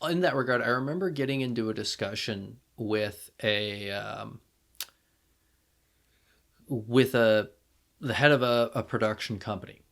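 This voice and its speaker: A man hosting a podcast, talking casually and emphatically, with halting restarts as he makes his points. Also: medium pitch, vocal fry, a bit bright. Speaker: podcast host.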